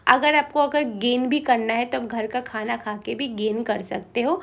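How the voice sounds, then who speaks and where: A woman's voice: a little high, steady tone, moderate pace, gravelly. A woman in her twenties vlogging her day at home, chatty and homely, a little feverish and coughing. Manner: chatty and homely, mixing in English words. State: coughing a bit, slightly feverish. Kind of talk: casual chat to camera. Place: bedroom at home.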